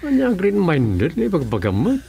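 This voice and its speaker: An actor playing an opinionated, slightly defensive village man with an Ilocano accent and a gravelly, husky voice in a comedy radio drama. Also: sits high, huge pitch swings, moderate pace, warm tone, a little hiss or hum, full character voice. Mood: sheepish, defensive.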